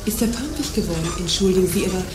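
Actress as brisk, assertive fellow shopper, echoey. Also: female voice, lowish voice, animated, natural voice. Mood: concerned. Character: concerned, assertive female fellow shopper stepping in. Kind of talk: audio drama dialogue. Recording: echoey space with crowd noise.

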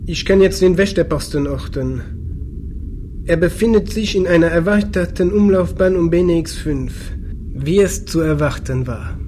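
Casting: male actor as doubting ship's crewman, soft dark tone, matter-of-fact, ambient noise behind, sci-fi drama. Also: fairly high pitch, moderate pace, natural voice. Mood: sceptical. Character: sceptical male crewmate of a sect leader. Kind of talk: science fiction radio play acting.

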